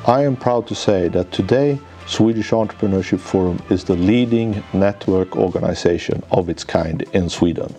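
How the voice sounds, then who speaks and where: Deep-voiced man, formal and measured. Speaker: managing director, economics professor. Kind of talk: scripted corporate presentation. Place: office lounge, indoors. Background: faint background music.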